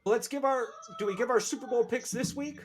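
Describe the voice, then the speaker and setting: A man's voice: extremely high pitch, fairly quick. A man in his thirties chatting casually as a podcast panelist, with crude, profane joking. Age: 30s. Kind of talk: casual chat. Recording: very noisy podcast audio with clicks.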